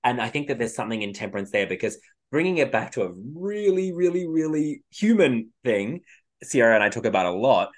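A man in easygoing conversation as a podcast co-host. He muses in a reflective, wandering way, circling back on his thoughts. His pitch sits at an ordinary middle level. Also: very animated, brisk. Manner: reflective, meandering, trailing off and restarting. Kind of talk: casual spiritual chat.

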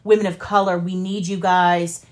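A woman co-hosting a casual political commentary show. She talks conversationally at a middling pitch and leans on key words for emphasis. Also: little pitch movement, moderate pace. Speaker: podcast co-host.

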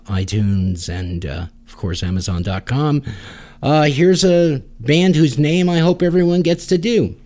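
Male podcast host, mid-pitched voice, casual and jokey music-show announcing. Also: a little mellow.

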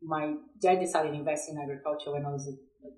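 A woman's voice: sits low, fairly even intonation, fast talker, dark tone. A woman from Brazil with a Brazilian accent, answering interview questions conversationally, often repeating words as she searches for phrasing. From São Paulo, Brazil. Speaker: podcast guest, agribusiness professional. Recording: roomy, echoey sound.

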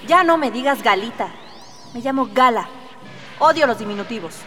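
Woman playing a high-voiced feisty rebel commander. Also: expressive, normal speed, natural voice. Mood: irritated. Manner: insistent, snappy. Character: feisty young female rebel commander, stubborn and devoted. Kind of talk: Spanish-language radio drama acting. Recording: long cavernous reverb, music behind.

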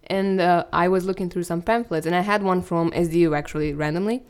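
A woman's voice: lowish voice, fast, smooth. A woman in her twenties from Romania, co-hosting a student podcast and chatting casually about her studies. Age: 20s. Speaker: podcast co-host. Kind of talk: casual chat.

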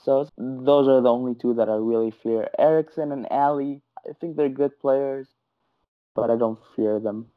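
A man's voice: mid-range voice, moderate pace. Blunt man on a tinny phone line. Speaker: podcast panelist. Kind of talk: casual football chat.

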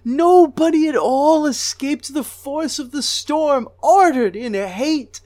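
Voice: high-pitched, takes it slowly, a little mellow, no echo. A reader voicing a grieving goddess queen of a ruined city, lamenting with a voice that breaks into sobs. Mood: wailing grief. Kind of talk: dramatic recitation of an ancient lament.

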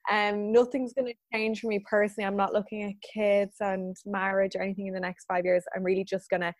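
A woman's voice: medium pitch, little pitch movement, quick pace, no echo. A woman in her thirties who runs a business, answering interview questions in a chatty, earnest way and laughing often. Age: 30s. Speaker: business owner guest.

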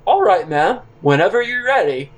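A man's voice: high voice, big pitch swings, moderate pace. A man telling a comic first-person story in an audio drama, with a hesitant, theatrical delivery. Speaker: narrator and counter worker character.

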